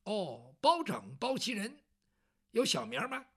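Brief: a storyteller plays a gabby, fussy old eunuch, pitch swooping everywhere. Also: huge pitch swings, unhurried, a bit bright, slightly rough, heavily acted. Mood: chatty. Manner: talkative, nagging, teasing. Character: chatty, fussy palace eunuch, older man. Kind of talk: traditional Chinese storytelling.

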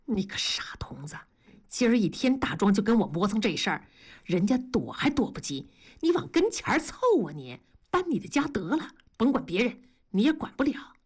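A woman voicing an evasive senior factory master and union chairman in an audiobook, hurried and brushing people off. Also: a little high, sing-song, a little mellow, breathy edge. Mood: hushed scolding.

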